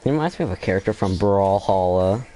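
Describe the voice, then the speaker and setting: Low-voiced man, casual gaming commentary. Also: animated, fast talker, mellow, a little hiss or hum, poor audio. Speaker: gamer, channel host.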